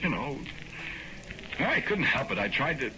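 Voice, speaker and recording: A man's voice: mid-range voice, sing-song, measured pace. An actor in a 1940s radio drama playing an anxious condemned man who protests his innocence in a folksy, hesitant way. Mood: pleading, agitated. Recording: noisy old 1940s radio recording.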